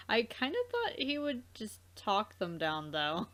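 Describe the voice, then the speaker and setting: A woman's voice: on the high side, wildly swooping pitch, slow pace. A woman co-hosting a let's play in casual, rambling, giggly chat.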